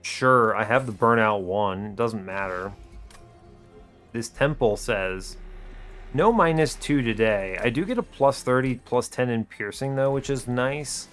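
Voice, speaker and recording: Chatty guy talking thru his game, wry and laid back in what he says, normal pitch, bit of music behind. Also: a little slow, a bit bright. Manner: breezy, chatty, wry understatement. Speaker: host of an educational gaming video series. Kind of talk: casual gameplay commentary, thinking aloud.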